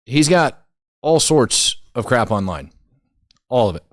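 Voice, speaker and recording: A man's voice: medium pitch, sing-song, unhurried, dry recording, clean studio sound. A man hosting a commentary show, talking casually and conversationally with incredulous emphasis and repeated phrases.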